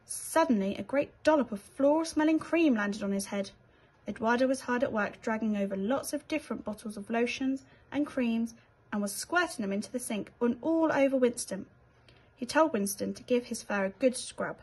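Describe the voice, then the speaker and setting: Slightly husky British woman reading a story. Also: a little high, moderate pace. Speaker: teacher reading to children. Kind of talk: expressive children's storybook narration.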